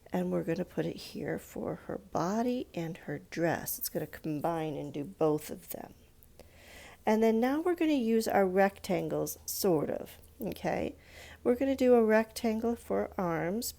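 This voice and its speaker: Gravelly-edged mellow woman teaching children. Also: medium pitch. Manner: simple, patient explaining with questions to young listeners. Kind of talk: informal step-by-step drawing lesson for children.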